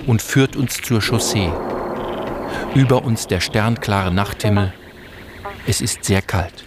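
Low-voiced, sober travelling chronicler. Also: male voice, slow pace, background noise. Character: sober male companion narrating the imperial flight. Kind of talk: scripted first-person radio drama narration.

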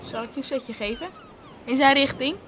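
An actress playing a gently teasing, encouraging confidante in a Dutch radio drama. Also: female voice, high voice, normal speed, slight background noise.

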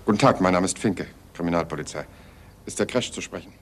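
An actor playing an unassuming, polite police inspector in 1970s German television style. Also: male voice, low-pitched, quick pace. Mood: polite, matter-of-fact. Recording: noisy archive television soundtrack, ambience.

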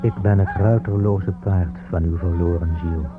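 A man's voice: deep voice, steady tone, moderate pace, close to an everyday voice. A man playing a nameless spirit horse in a radio play, speaking in a solemn incantation. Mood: solemn, haunting. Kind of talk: poetic radio play monologue. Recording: muffled radio play under loud guitar music.

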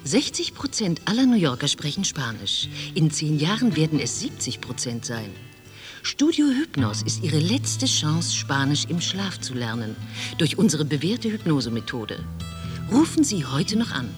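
A woman's voice: sits low, very animated, moderate pace, music in the background. An actress playing a persuasive female radio ad announcer with a salesy manner in a radio play. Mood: selling.

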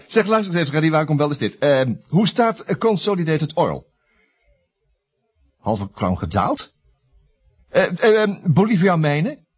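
An actor playing a scheming, optimistic young estate agent in a Dutch radio comedy, stammering through glib, evasive patter. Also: male voice, on the high side, breathy edge, heavily acted. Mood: anxious.

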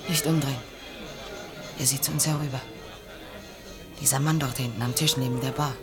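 A low-voiced, guarded, proud heiress. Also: female voice, fairly even intonation, moderate pace, over music, a crowd in the background. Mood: anxious, watchful. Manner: cool, guarded, pointed. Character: proud, wary young businesswoman seeking independence from rich father. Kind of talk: German radio play acting, 1980.

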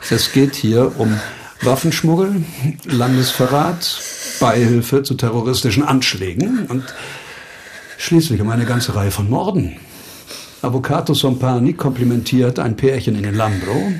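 Actor as measured, authoritative male investigator. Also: medium pitch, expressive, darkish tone, slight background noise, natural voice. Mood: stern. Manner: measured, authoritative, dryly condescending. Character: shrewd, controlled male investigator questioning suspects. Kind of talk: German radio crime drama acting.

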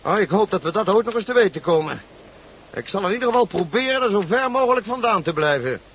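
High-voiced curt pilot, muffled radio play. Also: male voice, expressive, quick pace, a bit bright. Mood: tense, curt. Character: male military pilot, curt and cool under pressure. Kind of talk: 1970s Dutch radio drama acting. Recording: muffled, noisy 1970s radio recording.

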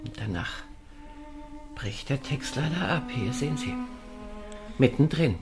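An actress playing an archaeologist's wife in a German radio drama, explaining in a deliberate, thoughtful way. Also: female voice, rumbling low, animated, music in the background, full character voice. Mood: absorbed, recollecting.

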